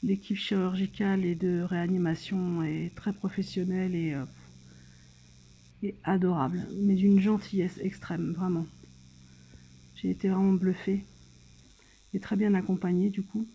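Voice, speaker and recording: A French woman speaking casually and hesitantly, as in a personal video diary, pausing and trailing off between phrases. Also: fairly low pitch, steady tone, mellow, breathy edge, muffled recording. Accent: French, from France. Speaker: vlogger documenting own surgery stay. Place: hospital room.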